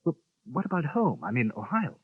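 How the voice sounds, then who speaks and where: An unflappable English gentleman. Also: a little high, big pitch swings, a little fast, husky, no echo, heavily acted. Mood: cool. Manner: polite, dryly understated. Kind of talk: 1950s radio drama acting. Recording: dull lo-fi 1950s radio recording.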